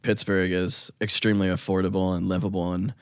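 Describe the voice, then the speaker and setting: Young male guest, lower voice, easygoing and rambling, dry close mic. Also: level tone, fairly quick, smooth, dry recording. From United States. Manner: rambling, lots of likes and ums, easygoing. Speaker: guest musician. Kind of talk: casual interview chat.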